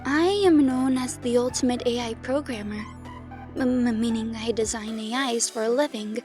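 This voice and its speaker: Woman as a high-voiced, stammering shy teenage girl. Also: moderate pace, over music, lightly acted. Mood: timid, nervous. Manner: hesitant, stammering, timid. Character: shy anxious stammering sixteen-year-old girl programmer. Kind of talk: audio drama acting.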